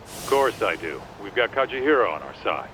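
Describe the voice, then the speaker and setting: A man's voice: fairly low pitch, sing-song, a little fast. An actor playing a brash, easygoing tough guy in a video game, heard through a phone call filter. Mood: confident. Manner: cocky, slangy. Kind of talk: English video game voice acting. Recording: muffled phone call, noisy street ambience.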